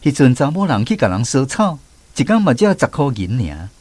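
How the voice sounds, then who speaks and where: A man's voice: mid-range voice, sing-song, a little fast, darkish tone, no room sound. A man with a Taiwanese accent narrating a scripted radio story in a folksy way, drawing out his phrases. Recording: music bed under the voice. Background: background music.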